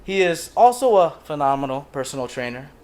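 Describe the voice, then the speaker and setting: Young man, podcast host, laid-back, jokey, slightly high voice. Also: big pitch swings, a little fast, darkish tone. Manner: laid-back, jokey, calls guest "bro". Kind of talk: casual chat.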